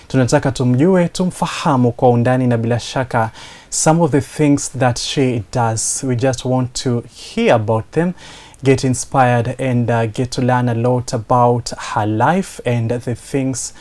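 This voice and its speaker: Guy hosting a talk show, measured, lots of uhs, mid voice. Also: medium pitch, darkish tone. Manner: measured, hesitant, with many uhs. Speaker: host.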